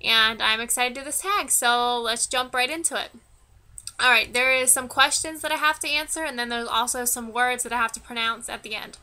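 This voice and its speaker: Bright, clear young American woman. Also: on the high side, fairly even intonation, a little fast, low-quality recording. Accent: Northern American. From Pennsylvania, United States. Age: 20s. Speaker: vlogger. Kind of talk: casual chat to camera, answering tag questions. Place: small wood-panelled room indoors.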